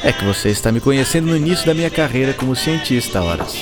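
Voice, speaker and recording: A Brazilian actor playing a courteous, patient young physicist in a radio drama, explaining things clearly. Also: male voice, medium pitch, expressive, fast, big-room sound, over loud music, lightly acted. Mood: courteous, welcoming.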